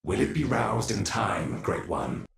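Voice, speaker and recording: A man's voice: lowish voice, big pitch swings, measured pace, very noisy. A man voicing a dutiful, grim ancient machine lieutenant in a video game, formal and subservient. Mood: deferential, grim. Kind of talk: dramatic video game cutscene acting. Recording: echoey voice under clear music.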